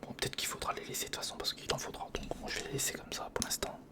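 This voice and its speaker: An ASMR creator whispering informal, step-by-step commentary to the viewer while building something by hand, close to the microphone. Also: very fast. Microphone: close recorder or studio microphone.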